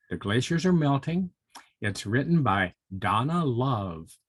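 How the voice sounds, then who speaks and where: An older man reading a story aloud to young children, playful and chatty, with expressive asides and questions to his listeners. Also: mid-range voice, breathy edge, dry recording.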